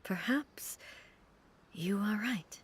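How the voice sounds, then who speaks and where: An actress playing a calm, dignified female ruler with a formal, gracious way of speaking in a video game. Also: medium pitch, drawn-out words, background noise. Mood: gentle, reassuring. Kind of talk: English video game voice acting. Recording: music clearly behind the voice.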